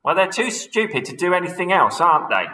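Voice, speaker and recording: Man voicing a screechy, nasty old woman for a storybook, high and sharp. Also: sing-song, brisk, echoey room, far from the mic, heavily acted, poor audio. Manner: screechy and scolding.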